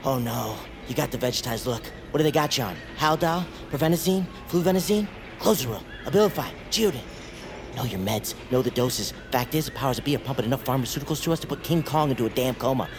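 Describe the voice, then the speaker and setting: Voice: mid-range voice, expressive, quick pace, music in the background, lightly acted. An actor playing a manic, paranoid, chatty psychiatric patient in an audio drama, rambling theatrically and slipping into whispers like a playful tour guide. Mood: sardonic, probing.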